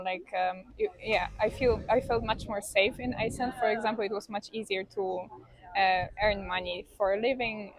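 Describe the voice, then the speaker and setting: A woman's voice: medium pitch, low-quality recording. Reflective woman. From Poland. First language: Polish. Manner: hesitant, thinking aloud. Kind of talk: casual reflective conversation.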